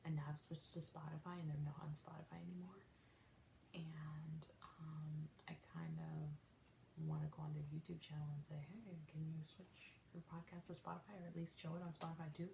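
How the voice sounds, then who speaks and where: A young woman with a low voice talks through a casual solo podcast, rambling and correcting herself as she goes. Her delivery is soft, often close to a whisper. The room around her rings with echo and carries a faint hiss. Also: gravelly. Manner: rambling, self-correcting, chatty. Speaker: podcast host. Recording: echoey room with faint noise.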